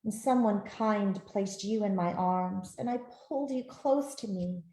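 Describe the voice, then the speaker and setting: A woman reading a picture book aloud to children in a gentle, expressive storytelling manner. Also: mid-range voice, unhurried. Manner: gentle, expressive, with soft asides to listeners. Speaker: author reading her own picture book. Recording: roomy, echoey sound.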